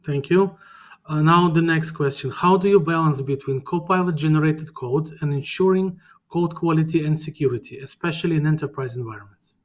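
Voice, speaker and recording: A man's voice: fairly high pitch, a little fast. Polite man reading out questions. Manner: polite, reads questions from a list. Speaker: webinar moderator. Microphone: video call.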